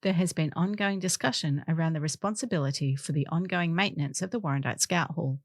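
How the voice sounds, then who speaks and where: A woman's voice: deep voice, quick pace, dry recording. Dry-sounding woman reading news. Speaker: volunteer newspaper reader. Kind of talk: scripted news article narration.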